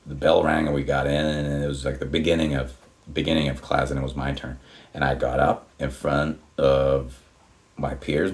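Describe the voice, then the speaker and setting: Very deep-voiced man rambling. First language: Swedish. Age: 30s. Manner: rambling, many restarts and swearing. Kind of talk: casual storytelling.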